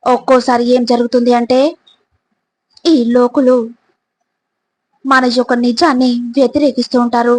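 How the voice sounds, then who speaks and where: A woman reading scripted motivational reflections in a deliberate way, pausing between short phrases and addressing the listener directly. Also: on the high side, little pitch movement. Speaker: motivational quotes narrator.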